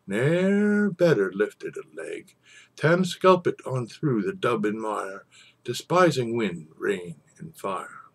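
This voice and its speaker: A man with a husky voice, speaking as a storyteller and savouring his phrases with theatrical pauses. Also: lowish voice, sing-song. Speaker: storyteller and poetry reader. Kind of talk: informal introduction, then dramatic poetry recitation.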